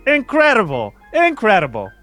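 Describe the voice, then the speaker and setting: A man playing a pompous, excitable gentleman sidekick in an old-time radio detective play, with theatrical delivery. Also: sits high, normal speed, faint music behind, pitched above the performer's own voice, exaggerated voice. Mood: astonished.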